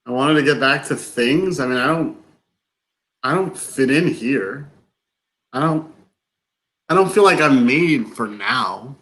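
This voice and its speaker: A man playing a frustrated, incredulous novice farmer in a live stage play reading, with halting, colloquial delivery. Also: medium pitch, animated, a bit bright. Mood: earnest, frustrated.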